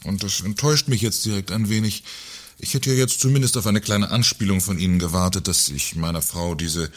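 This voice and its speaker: An actor playing an indignant, self-pitying company director in a satirical radio play, speaking in a dry, formal, sardonic way. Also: male voice, sits low, a little fast. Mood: dry, wounded.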